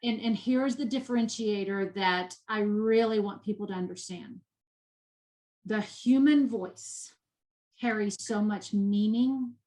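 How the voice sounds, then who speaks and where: A woman's voice: mid-range voice, unhurried, mellow, poor audio. This is a woman with a Texas accent, talking as an interview guest. She speaks with conviction and uses repetition to drive her points home. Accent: Texan. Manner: passionate, emphatic, repeats for emphasis. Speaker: guest, nonprofit education executive.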